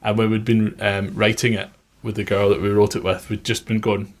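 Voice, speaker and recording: A man's voice: on the low side, level tone, a little fast. A man working as a music producer, giving an informal, conversational tutorial on his production methods.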